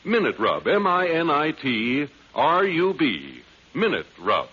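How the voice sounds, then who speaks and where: A man's voice: on the high side, wildly swooping pitch, slow pace. A male announcer reading a 1940s radio advertisement with a polished, emphatic sales delivery. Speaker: commercial announcer. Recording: muffled, hissy vintage radio recording.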